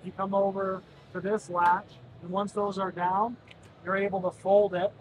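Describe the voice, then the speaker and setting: A man's voice: flat delivery, moderate pace. A man in his thirties, a product representative giving a polished demonstration of a utility vehicle in a large indoor expo hall. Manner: polished, explanatory sales pitch. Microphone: camera mic at close range. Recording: crowd noise of a busy expo hall.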